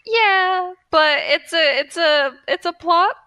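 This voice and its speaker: A woman's voice: extremely high pitch, slow pace, bright, forceful tone, no echo. A woman co-hosting a podcast in a casual, chatty style. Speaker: podcast co-host.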